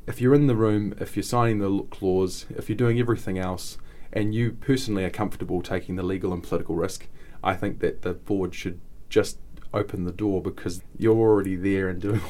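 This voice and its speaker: A man's voice: on the low side, darkish tone. A man on a radio panel discussing board work, speaking thoughtfully and often restarting his phrases. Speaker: panel guest, board member.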